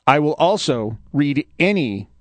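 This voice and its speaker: A man's voice: fairly high pitch, sing-song, unhurried, no echo. A man co-hosting a poetry radio show with playful, self-mocking banter in a studio.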